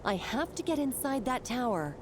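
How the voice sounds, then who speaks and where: A woman's voice: sits high, very animated, moderate pace, noisy background. An actress playing a worried, determined mother in a video game, thinking aloud as she searches. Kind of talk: video game character acting.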